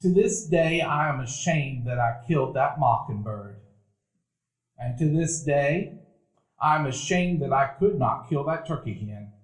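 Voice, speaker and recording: A man narrating a stage radio play as a grown man recalling his boyhood, in a measured, reflective telling. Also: a little high, expressive. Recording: roomy event hall.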